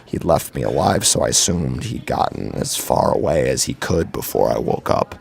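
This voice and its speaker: Guy acting a broken guilt-ridden man telling his horror story, super low croaky voice, brooding, swings around a lot. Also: expressive, moderate pace, slight background noise, exaggerated voice. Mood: grim, bewildered. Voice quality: gravelly, husky. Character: anguished, guilt-ridden male victim recounting his tragedy. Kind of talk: first-person horror story narration.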